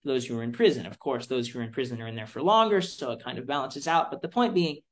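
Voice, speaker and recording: A young adult American man from Florida giving explanatory interview answers as a student policy advocate, speaking in an articulate, deliberate way with emphatic phrasing. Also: medium pitch. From Florida, United States.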